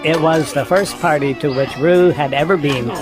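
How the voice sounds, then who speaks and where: A man's voice: on the high side, normal speed. A man narrating a children's story from a script, in storybook style. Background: music.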